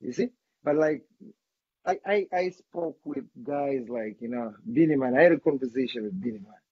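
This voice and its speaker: A man from Zimbabwe, a guest artist talking casually in an interview, chatty and quick to repeat himself. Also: medium pitch, expressive, a little mellow, husky. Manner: chatty, repeats words, says bro a lot. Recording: dull lo-fi sound.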